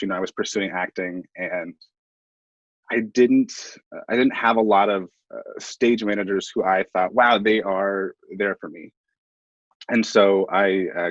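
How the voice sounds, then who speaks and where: A young man with a low voice speaks thoughtfully in an interview, pausing as he searches for words. The sound is roomy and slightly muffled. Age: young adult. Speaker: stage management graduate student.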